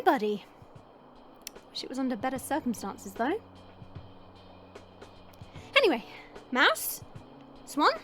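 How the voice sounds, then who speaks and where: A woman's voice: high voice, a bit bright, heavily acted. A woman playing a brash, teasing female vampire in an audio roleplay, sarcastic and playful in a casual way. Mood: breezy.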